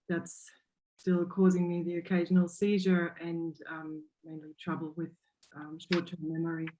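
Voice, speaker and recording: A woman, a guest on a video call, giving a measured, matter-of-fact account. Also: mid-range voice, a little mellow. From Germany. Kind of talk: personal account. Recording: dull lo-fi call audio.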